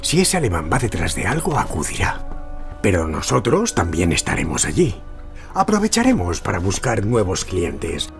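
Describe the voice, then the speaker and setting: An actor playing a wily, flattering Lebanese antiquities dealer in an audio drama. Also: male voice, deep voice, moderate pace, soft background music, heavily acted. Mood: scheming.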